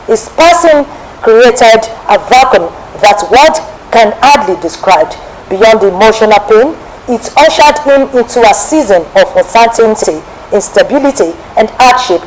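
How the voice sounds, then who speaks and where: High-voiced Nigerian woman presenter, noisy recording. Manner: formal, deliberate storytelling. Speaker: television presenter. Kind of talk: scripted programme introduction and biographical narration.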